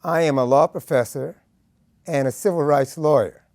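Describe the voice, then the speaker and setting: A man in his seventies from Connecticut with an American accent, telling his life story in a deliberate, measured way, in short phrases. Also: on the high side, a little mellow, no echo, studio quality. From Connecticut, United States. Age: 70s. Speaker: law professor and civil rights lawyer. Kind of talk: personal oral history storytelling.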